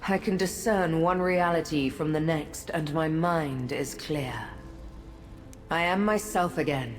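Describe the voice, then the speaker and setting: Slow, low, regal goddess. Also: female voice. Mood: relieved, majestic. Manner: solemn, measured, formal. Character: melancholy, regal female Daedric prince of paths. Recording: long reverb over soft music.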